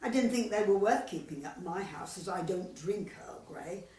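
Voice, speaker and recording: A fussy, old-fashioned older female club member , played by a woman, with a medium pitch that swoops about in brisk radio comedy style. She sounds echoey and away from the mic. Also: animated, mellow, close to an everyday voice. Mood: dismissive. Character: fussy, thrifty older widow on club committee. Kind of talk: amateur radio play comedy acting. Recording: echoey, distant home recording.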